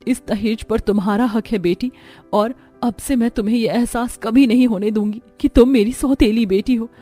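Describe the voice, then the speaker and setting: A woman's voice: fairly high pitch, normal speed, dark tone, faint music behind, close to an everyday voice. A woman voicing a melodramatic middle-aged stepmother in a cartoon, scheming and doting, defensive and pleading. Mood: remorseful, tender.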